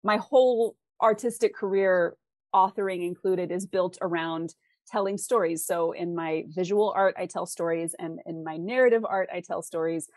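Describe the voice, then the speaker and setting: A woman's voice: lowish voice, normal speed, darkish tone, no echo. A woman speaking casually as an author being interviewed on a podcast. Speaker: podcast guest, fantasy author.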